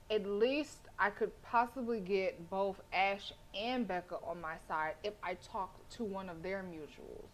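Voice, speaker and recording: A young woman streams a horror visual novel and chats loudly over it, full of slang and playful jabs at the characters. Her pitch sits in a middling range. A faint hum of room noise stays behind her voice. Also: low-quality recording. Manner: loud, playful, slangy, animated. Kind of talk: casual gameplay commentary and reading.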